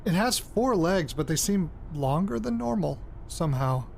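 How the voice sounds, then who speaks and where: An actor playing an anxious, insistent young amateur investigator, speaking haltingly and bluntly in a naturalistic audio drama. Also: male voice, sits high, expressive, gravelly, darkish tone. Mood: uneasy, matter-of-fact. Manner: halting, blunt, pressing, sweary. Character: anxious, insistent amateur investigator probing his late grandparents' secrets. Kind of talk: audio drama, naturalistic hesitant recorded diary and interview. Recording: field recording with background noise.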